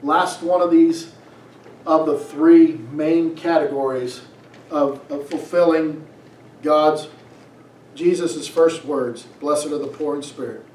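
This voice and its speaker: A middle-aged man preaches in a high-pitched voice at a slow, deliberate pace. He reads scripture and exhorts with emphatic phrasing. The sound is distant from the mic, in a roomy church. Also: fairly even intonation, a little mellow. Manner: emphatic, exhorting, drawn-out phrases with rhetorical questions. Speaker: pastor. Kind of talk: preaching a sermon, reading scripture aloud. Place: small church sanctuary, on stage. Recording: echoey room, distant from mic.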